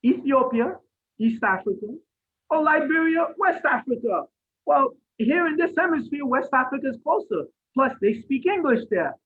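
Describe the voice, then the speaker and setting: A Haitian-born man giving an impassioned lecture over a video call, asking rhetorical questions and rising to near shouts. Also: very high voice, animated, normal speed. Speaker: guest speaker. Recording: phone-like line from an online event.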